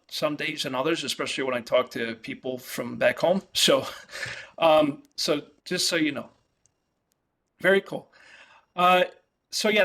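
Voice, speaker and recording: Bright-voiced New York professor, halting lecture. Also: male voice, a little high. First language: Italian. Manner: halting, many fillers and restarts. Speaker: economics professor. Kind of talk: virtual classroom lecture. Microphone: video call.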